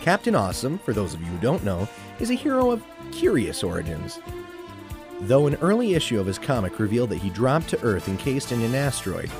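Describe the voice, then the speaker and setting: A man's voice: medium pitch, wildly swooping pitch, fairly quick, over music. A man narrating a scripted adventure story in a theatrical storytelling manner.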